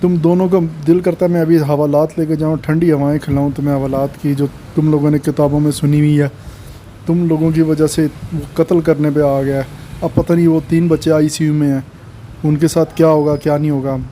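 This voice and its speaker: Man as gloating cartoon cop, menacing, taunting, slightly high voice, light background noise. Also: flat delivery, a little fast, warm tone, lightly acted. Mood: threatening. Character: threatening, gloating policeman hunting down a violent boy. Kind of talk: amateur cartoon voice acting in Urdu.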